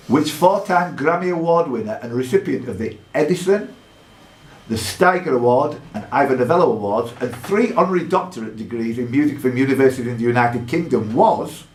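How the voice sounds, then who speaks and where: A man working as a quiz master, reading buzzer questions aloud in a deliberate way and announcing point values between clues. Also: medium pitch. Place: pub room with contestants. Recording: echoey room with faint background noise.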